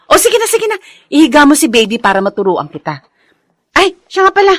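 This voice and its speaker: Lively, breezy, joking woman. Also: high voice, a little fast, no room sound, studio quality. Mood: playful. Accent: Filipino. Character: confident, joking female helper with babies. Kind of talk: Filipino radio drama acting.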